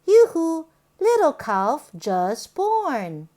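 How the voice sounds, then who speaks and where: Very slow, high-pitched woman reading to children. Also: wildly swooping pitch, mellow. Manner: sing-song, exaggerated, deliberate delivery. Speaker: children's book reader. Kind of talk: read-aloud picture book storytelling for young children.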